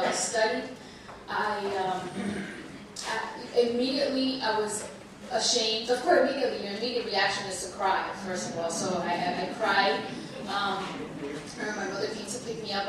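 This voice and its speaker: A woman whose first language is Spanish, a bit under the weather, telling her story to an audience in an earnest, halting way with many restarts. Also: medium pitch, steady tone, a crowd in the background. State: under the weather, slight cold. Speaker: panel speaker. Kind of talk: personal talk to an audience. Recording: echoey hall, far from mic, noisy.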